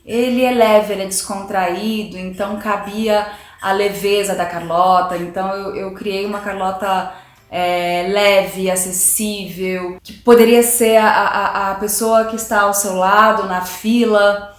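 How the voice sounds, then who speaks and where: A woman's voice: medium pitch, fairly even intonation, a little slow, reverberant. A woman actress talking enthusiastically and thoughtfully about playing her role, with frequent restarts. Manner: enthusiastic, reflective, hesitant restarts. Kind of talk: interview talk.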